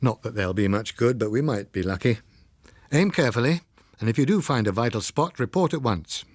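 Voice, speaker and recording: A man's voice: mid-range voice, expressive, a little fast, dry recording, clean studio sound, lightly acted. A man voicing a grim, resigned police officer giving terse orders in an audiobook. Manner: terse, commanding. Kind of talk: audiobook character reading.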